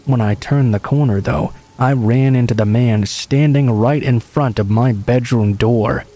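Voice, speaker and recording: A man calmly narrating true horror stories in an even, scripted read. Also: medium pitch, unhurried.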